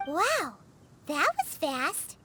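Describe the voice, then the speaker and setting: A woman's voice: high voice, big pitch swings, very slow, bright, forceful tone, slight background noise, exaggerated voice. A woman voicing a small, childlike, eager fairy companion in a video game. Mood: earnest. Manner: refers to self by name, exclaiming.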